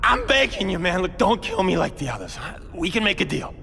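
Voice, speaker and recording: Gang boss arms dealer, cocky coward, high forceful gravelly voice, video game, long reverb. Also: male voice, big pitch swings, fairly quick, over loud music, heavily acted. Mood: pleading, scared. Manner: taunting or begging, faltering. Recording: long echo, faint background noise.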